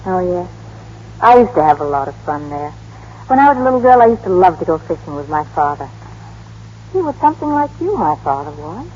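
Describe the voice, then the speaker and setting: An actress playing a soft-hearted, wistful young American woman in a vintage radio drama, speaking in a gentle, probing way. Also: lowish voice, big pitch swings, a little fast. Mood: wistful, fond. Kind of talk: 1930s-40s radio drama acting. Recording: dull, noisy old radio broadcast.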